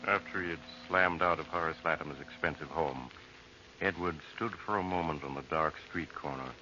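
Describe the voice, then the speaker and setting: An actor playing the ominous narrator of a 1940s radio horror tale, measured and theatrical. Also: male voice, very deep voice, music in the background. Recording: dull, noisy old radio recording.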